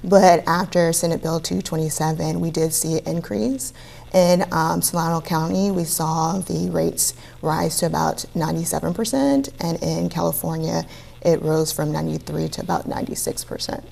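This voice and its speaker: A woman's voice: sits low, normal speed, warm tone. A woman from Virginia, a physician, answering interview questions in a casual way with some hesitation. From Virginia, US East Coast. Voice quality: gravelly, creaky. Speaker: physician, guest.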